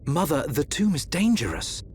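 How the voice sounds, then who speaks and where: An actor playing an earnest, courtly prince, speaking formally in a video game drama. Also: male voice, on the high side, big pitch swings, normal speed, slight background noise. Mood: concerned.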